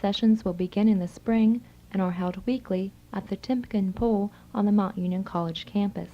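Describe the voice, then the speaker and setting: A woman's voice: medium pitch, normal speed. A woman hosting a radio magazine program, reading scripted announcements and introductions. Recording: slightly dull old reel-to-reel radio tape.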